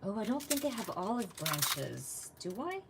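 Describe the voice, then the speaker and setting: A woman's voice: medium pitch, very animated, moderate pace, vocal fry, very noisy. A woman from the United States chatting casually while she crafts live, thinking aloud and laughing often. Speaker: craft vlogger.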